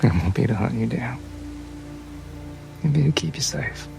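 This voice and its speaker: Man playing a creaky-voiced, weakened wry adventurer. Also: medium pitch, lively intonation, moderate pace, a little mellow, faint music behind. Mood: fond teasing. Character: weakened wounded uncle adventurer, fond and wry.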